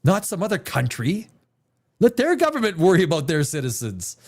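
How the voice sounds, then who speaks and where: A man's voice: on the high side, huge pitch swings, normal speed. A man hosting a livestream chat, blunt and profane, hammering his points with repetition. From Canada. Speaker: livestream host.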